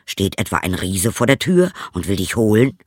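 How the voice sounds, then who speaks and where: A man voicing an older fairy-tale king who speaks to his daughter in a low, firm and measured way. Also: sing-song, slightly rough, dry recording, studio quality. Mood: stern. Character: stern old king, fatherly.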